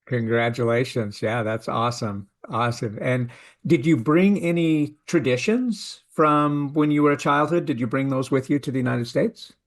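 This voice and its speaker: Man, show host, friendly, halting speech, casual chat. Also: mid-range voice.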